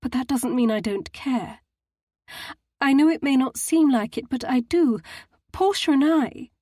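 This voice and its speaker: A woman's voice: mid-range voice, moderate pace, a little mellow, husky, no room sound, studio quality, pitched above the performer's own voice, close to an everyday voice. A woman doing a heartbroken young society lady. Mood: quaking. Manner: quaking, tearful, pleading.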